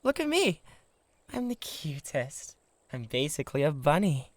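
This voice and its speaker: British man as lovesick, jealous giant: wildly swinging pitch, easily exasperated, intimate dry ASMR recording. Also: sing-song, a little slow, dry recording. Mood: adoring, boastful. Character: huge, jealous, lovesick, violently possessive male giant. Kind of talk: comedic ASMR roleplay acting.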